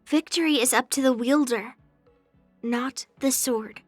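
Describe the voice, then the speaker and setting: A woman's voice: sits high, measured pace, dry recording, faint music behind. A woman playing a brash, earnest young swordswoman in a game, blunt but girlish, speaking in a declarative, matter-of-fact way. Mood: earnest, instructive. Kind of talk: scripted game character voice lines.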